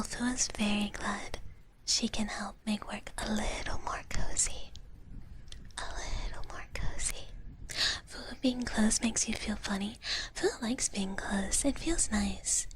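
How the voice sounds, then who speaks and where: A woman whispering softly and breathily in a cutesy ASMR roleplay as a cozy dragon big sister, chatting with viewers close to the mic. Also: fairly high pitch, unhurried. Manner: cutesy, speaks of herself in the third person. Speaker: ASMR livestream host.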